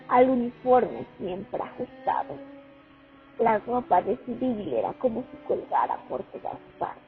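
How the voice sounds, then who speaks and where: A high-voiced female war veteran, played by an actress tells her memories of the front with lively, dramatic swings in a radio play. The sound is tinny and phone-like. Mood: nostalgic, moved. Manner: reminiscing, expressive, dramatic. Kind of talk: radio play monologue, first-person testimony. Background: music.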